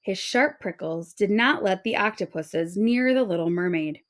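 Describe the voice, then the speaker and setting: A woman narrating a children's storybook aloud in an expressive storytelling manner. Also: mid-range voice, moderate pace. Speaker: storybook reader.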